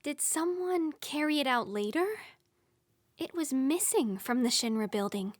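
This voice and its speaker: A gentle, questioning young heroine acted by a woman in a game dub. Her voice is high and she speaks slowly and thoughtfully. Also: animated, dry recording, studio quality. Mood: puzzled. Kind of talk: dramatic fan dub acting.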